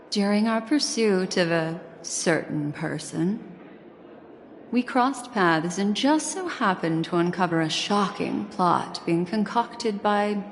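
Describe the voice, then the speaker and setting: A woman voicing an elegant, composed and mysterious game character, courteous and softly teasing in manner. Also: lowish voice. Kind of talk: video game voice acting. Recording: long reverb, music behind.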